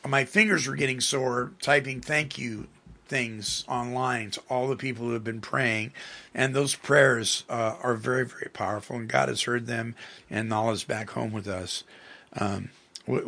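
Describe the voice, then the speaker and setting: Gravelly husky man hosting a radio show. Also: medium pitch, fairly even intonation, bright, forceful tone. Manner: rambling, halting with fillers. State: fighting a cold, voice going. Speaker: radio host. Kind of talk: casual talk radio chat.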